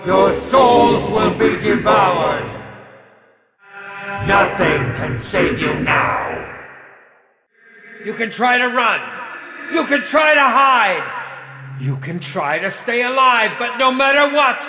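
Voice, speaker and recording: An actor playing a flamboyant, petulant, sadistic film director villain in a video game, taunting theatrically in a drawn-out delivery. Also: male voice, high voice, expressive, roomy sound, big-room sound, over loud music. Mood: gloating menace.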